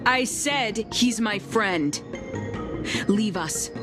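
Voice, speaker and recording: An actress playing a calm, proud woman leader of a secret sect in a video game, with formal, measured speech over music. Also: mid-range voice. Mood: commanding. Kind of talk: dramatic video game voice acting. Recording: loud background music under voice.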